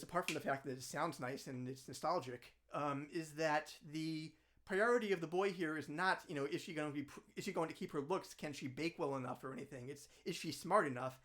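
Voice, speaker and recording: A man's voice: a little high, a bit bright. A man hosting a solo livestream, chatting in a rambling, self-deprecating way with lots of fillers and restarts. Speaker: singer-songwriter hosting a livestream. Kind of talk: solo livestream with ukulele songs and chat. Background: ukulele accompaniment.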